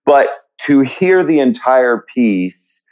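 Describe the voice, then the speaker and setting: A man reflecting in an interview as a theatre writer, speaking haltingly and pausing often mid-thought. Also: medium pitch, sing-song, a little mellow, highs cut off. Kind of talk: reflective panel interview talk.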